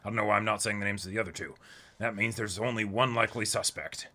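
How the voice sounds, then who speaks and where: A man with a bright, sharp-edged voice co-hosts a comic read-along stream. He chats informally and jokes, switching into reading dialogue out loud. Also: lowish voice, fairly even intonation, fast talker. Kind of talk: casual chat and reading dialogue aloud.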